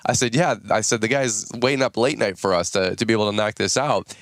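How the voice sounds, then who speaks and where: An American man in his thirties hosting a podcast in casual, rambling chat, his voice a little raspy from just waking up. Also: on the low side, fast, no room sound. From United States. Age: 30s. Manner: chatty, rambling, folksy. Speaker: podcast host.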